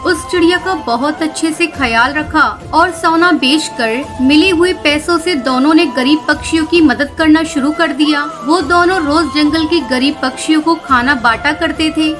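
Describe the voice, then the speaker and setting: A woman narrates a children's cartoon tale from a script. Her voice is noticeably high. Music plays clearly behind her as she tells the story. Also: moderate pace, few pauses. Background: background music.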